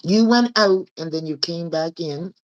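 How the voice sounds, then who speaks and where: Woman, raspy, low voice, chatty host, live stream, from Nova Scotia. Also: unhurried, rough recording. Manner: chatty, laughs often. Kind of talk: casual live-stream chat. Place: home room with fake northern lights projector.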